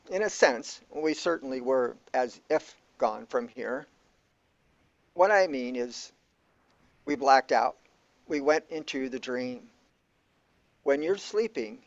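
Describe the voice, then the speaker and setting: A man's voice: a little high, animated, takes it slowly, creaky, dry recording, full character voice. A man playing a laid-back, philosophical character who explains things matter-of-factly in an amateur audio drama. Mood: explaining. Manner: casual, philosophical, unfazed. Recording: dull lo-fi dry recording.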